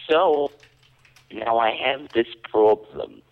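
A man putting on a raspy, muddled woman's voice as a prank caller, rambling and hesitant in a dull lo-fi phone recording. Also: mid-range voice, slow. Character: confused raspy female prank caller claiming to be a young woman.

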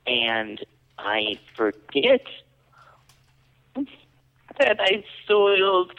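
A man putting on a confused, raspy woman caller who rambles slowly with a lively, swooping pitch. The sound is dull and lo-fi, like an old phone recording. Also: heavily acted. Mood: rambling. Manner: falsetto put-on woman's voice, rambling, hesitant. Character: confused raspy female prank caller claiming to be a young woman. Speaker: prank caller. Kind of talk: prank phone call.

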